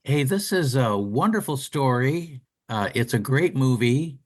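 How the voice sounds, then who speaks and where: A man's voice: medium pitch, expressive, measured pace, no echo, clean studio sound. A man co-hosting a radio arts show, delivering announcements and interview questions in an enthusiastic, promotional announcer style.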